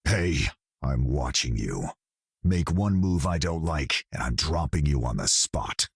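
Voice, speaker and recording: Casting: gruff, distrustful game hero, raspy bass, clipped menace, dry sound. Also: male voice, lively intonation, measured pace, no room sound, heavily acted. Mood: threatening. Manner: terse, growling. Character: gruff, threatening male tough-guy hero, distrustful. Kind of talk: video game character dialogue.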